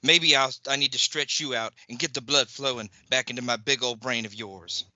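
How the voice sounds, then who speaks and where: A man's voice: mid-range voice, steady tone, moderate pace, pushed and bright, dry recording, highs cut off. A man acting in a comedic audio play as a tough, blunt wrestler brother. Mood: taunting.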